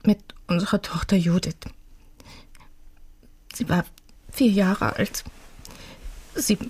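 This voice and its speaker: An actress playing a troubled, fragile wife and grieving mother, a painter, in a radio crime drama, hushed and hesitant. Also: mid-range voice, takes it slowly, breathy edge. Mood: pained confession.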